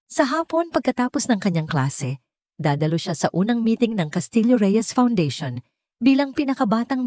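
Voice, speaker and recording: Female Filipino storyteller for scripted romantic drama narration; soft dark timbre, close dry sound. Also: medium pitch, no echo.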